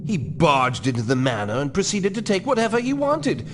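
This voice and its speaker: A man's voice: a little high, moderate pace, lightly acted. A man playing a nervous, deferential household servant in a video game, confiding in a formal, dramatic way over background noise. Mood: confiding, grave. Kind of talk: video game dialogue, storytelling.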